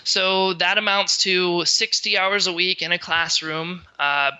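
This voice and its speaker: A young man talks as a podcast guest with a strikingly bright, fairly high voice. He tells his story casually, wanders off into explanations and fills his pauses with ums and uhs. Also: fairly even intonation, clear, resonant tone. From Pennsylvania. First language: English. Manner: chatty, many fillers, digressive explanations.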